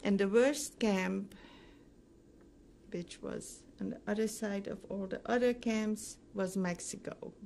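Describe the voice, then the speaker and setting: An older woman gives recorded testimony, recalling the past in an interview. Her speech is slow and halting, broken by pauses, and her voice has a gravelly creak. There is faint noise behind her. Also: medium pitch, fairly even intonation, a little mellow. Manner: halting, pausing often mid-sentence. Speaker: Holocaust survivor giving testimony. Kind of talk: recorded oral history interview, recounting memories.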